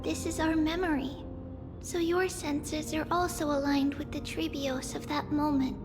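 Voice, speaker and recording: A woman voicing a solemn young girl guide in a video game, speaking earnestly and with measured care. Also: high voice, flat delivery. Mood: solemn, gentle. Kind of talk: scripted video game voice acting. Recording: long reverb under game music.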